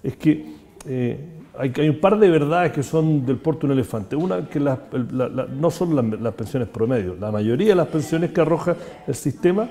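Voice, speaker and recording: A man with a Chilean accent hosting a talk-show conversation, talking fast with an opinionated tone and many restarts. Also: medium pitch, lively intonation, dark tone. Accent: Chilean Spanish. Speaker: host.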